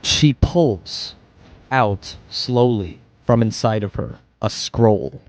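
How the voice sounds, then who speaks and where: A man's voice: on the low side, lively intonation, slow pace, a little mellow. A man narrating a tabletop game as game master, improvising with informal, offhand asides.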